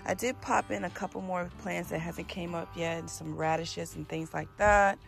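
A chatty woman talks viewers through her backyard garden, recorded outdoors on a handheld phone. Her voice has a gravelly, fry-edged texture, and clear music plays underneath. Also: on the low side, steady tone, normal speed. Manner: chatty, addresses viewers as you guys. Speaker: gardening channel host. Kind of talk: casual garden tour walkthrough. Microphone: handheld phone camera.

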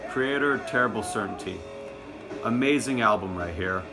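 A man's voice: fairly low pitch, moderate pace, over music. A young man in his teens or early twenties doing a casual show-and-tell to camera, recorded at arm's length in a small room at home. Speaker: music collection vlogger.

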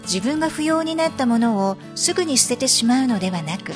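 A woman's voice: a little high, expressive, a little fast. A woman reading scripted narration for an educational storytelling and explainer video. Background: music.

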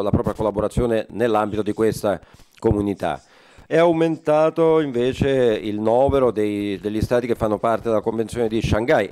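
A man interpreting a forum speech live, halting often with fillers and correcting himself mid-phrase. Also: fairly low pitch, darkish tone. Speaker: conference interpreter.

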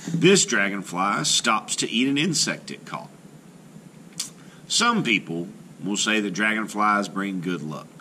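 A man reading a children's picture book aloud as a teacher, slow and careful, with rising emphasis, recorded indoors at a table by an overhead camera. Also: on the low side, expressive, sharp tone, a little hiss or hum.

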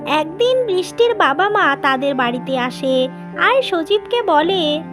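A woman's voice: very high voice, big pitch swings, takes it slowly, music in the background. A woman narrating a cartoon tale as a scripted storyteller.

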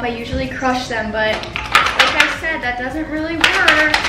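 A teenage girl chatting casually as she walks through a baking tutorial in her home kitchen, explaining each step as she works. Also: sits high. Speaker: baking vlogger. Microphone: far camera on the counter. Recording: roomy kitchen echo, distant mic, music behind.

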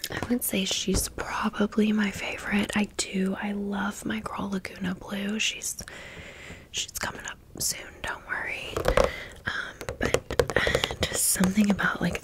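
A woman hosting ASMR in a breathy, unvoiced whisper, chatting informally and gently as she shows off items. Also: medium pitch, completely flat delivery, takes it slowly. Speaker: ASMR video host. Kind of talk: whispered ASMR product show-and-tell.

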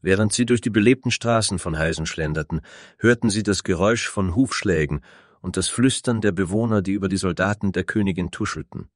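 Low-voiced male fantasy narrator. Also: fairly quick, dry recording, clean studio sound. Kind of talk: scripted fantasy story narration.

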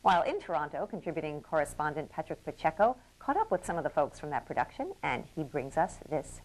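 A woman hosting a TV arts show, reading a scripted introduction in a lively, presenting way. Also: low voice, fast talker, rough recording. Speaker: show host.

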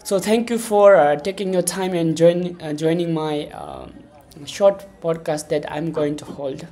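A man in his twenties hosting a casual interview in a halting, wordy way, often repeating himself. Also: a little high, very animated, warm tone. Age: 20s. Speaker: podcast host, interviewer.

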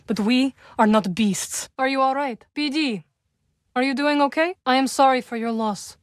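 High-voiced, solemn young witch played by an actress. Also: dry recording, clean studio sound, lightly acted. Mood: somber, gentle. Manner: measured, formal phrasing with few contractions. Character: young adult witch, solemn, guarded, dryly wry rebel. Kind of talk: video game character dialogue.

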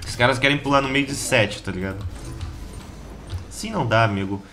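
A slightly hoarse Brazilian man giving a casual, slangy gameplay guide as host of a gaming channel. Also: medium pitch, brisk, sharp tone. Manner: slangy, informal, chatty. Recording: keyboard clatter and background noise.

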